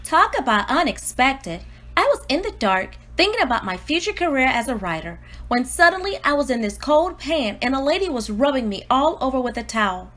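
Woman voicing a high, animated precocious storybook baby. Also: brisk, heavily acted. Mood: indignant surprise. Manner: earnest, exclamatory, self-important. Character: precocious newborn baby diarist, indignant, eager and dramatic. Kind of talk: expressive storybook read-aloud.

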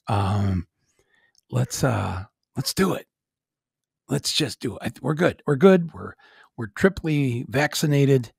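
A man's voice: mid-range voice, creaky, breathy edge, no echo, studio quality. A man in his sixties hosting a podcast, talking in a rambling, self-deprecating way with chuckles and lots of "uh". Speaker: podcast host. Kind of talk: casual monologue.

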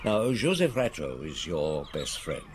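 An actor playing a shrewd, genial middle-aged chief inspector in a radio drama, unhurried and probing, humming thoughtfully. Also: male voice, rumbling low, huge pitch swings, a little hiss or hum, heavily acted. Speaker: actor playing the lead detective. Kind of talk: radio drama acting with first-person narration.

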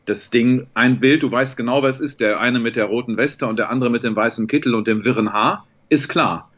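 A man in his forties hosting an interview over a video call, talking in a relaxed, reflective way. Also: medium pitch, brisk. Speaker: podcast host. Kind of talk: casual video-call chat. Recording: slightly dull, lo-fi call audio.

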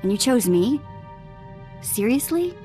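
A woman playing a young, self-doubting former slave and thief in a video game, talking in a halting, candid way. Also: a little high, big pitch swings, dark tone, faint music behind. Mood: nervous, disbelieving.

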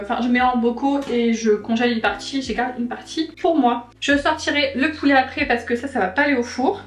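A woman's voice: fairly high pitch, far from the mic. Chatty young woman in an echoey kitchen. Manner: chatty, rambling, many fillers and restarts. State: recovering from a stomach illness. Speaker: cooking vlogger. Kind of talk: casual chat while cooking. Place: home kitchen, rain outside. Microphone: far camera.

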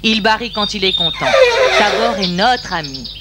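A woman's voice: medium pitch, lively intonation, moderate pace, very noisy, close to an everyday voice. A woman playing a protective, motherly gorilla in a French cartoon dub, tender with the young and firm in their defence. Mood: tender, reassuring. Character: protective, motherly female gorilla adopting a baby. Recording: loud music behind the voice.

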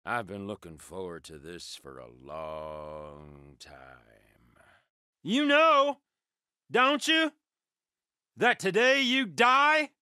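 A man's voice: medium pitch, drawn-out words, long pauses, clear, resonant tone, no echo, studio quality, full character voice. An actor playing a sadistic, gloating male villain in a video game, taunting his prey with menacing glee. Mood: gloating, excited. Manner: taunting, gleeful, menacing, swinging from murmurs to shouts. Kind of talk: video game combat voice lines.

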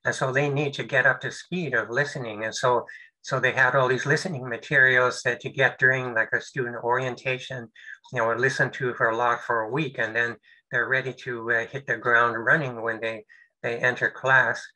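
An older man giving a webinar talk over a video call, halting and full of fillers and restarts. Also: mid-range voice, level tone, bright, forceful tone, rough recording. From United States. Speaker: presenter. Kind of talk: webinar presentation.

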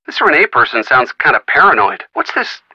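A man's voice: medium pitch, wildly swooping pitch, a little fast, breathy edge, dry recording, dull audio. A man voicing a teasing, supportive young male friend talking on the phone in a video game. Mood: wry. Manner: teasing, wry, easygoing. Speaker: phone friend of the player character.

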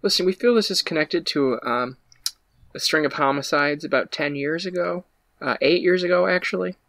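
Man improvising a halting, polite police officer. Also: a little high, creaky, a bit bright, dry recording. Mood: questioning. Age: young adult. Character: bumbling, polite male police officer questioning a mother. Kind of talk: improvised comedy table read.

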